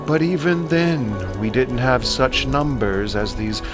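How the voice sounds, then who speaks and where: A man's voice: mid-range voice, takes it slowly, music in the background, natural voice. An actor playing an aged, curious king who marvels aloud in a dramatic audio production. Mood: marveling, curious.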